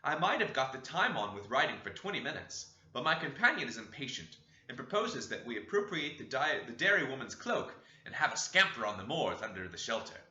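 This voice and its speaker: A man reading a classic novel aloud with an expressive, varied delivery. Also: mid-range voice, fairly quick. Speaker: audiobook reader. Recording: roomy dull lo-fi recording.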